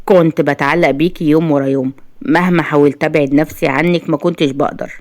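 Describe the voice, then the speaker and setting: A woman's voice: low-pitched, fast, darkish tone, lightly acted. A woman voicing a lovesick, easily exasperated young husband in Egyptian Arabic, earnest and remorseful, in a told story. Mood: pained, remorseful.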